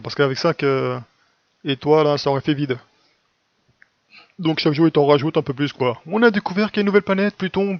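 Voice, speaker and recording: A man with a fairly high, creaky voice talks through a lesson in a colloquial, teacherly way, often tacking little tags onto his phrases. The recording is dry and close, with a somewhat dull, lo-fi sound. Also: no room sound. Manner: colloquial, didactic, frequent "hein" and "quoi" tags. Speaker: religious video teacher. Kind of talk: informal explanatory monologue.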